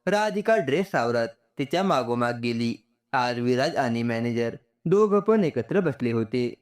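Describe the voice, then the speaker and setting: A man's voice: medium pitch, lively intonation, unhurried. A man reading a scripted story aloud as a storyteller.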